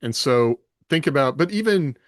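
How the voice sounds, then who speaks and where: A man with a middling pitch talks casually as a podcast co-host. His voice is recorded dry and close, with almost no room sound. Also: animated, moderate pace, dry recording. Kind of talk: casual discussion.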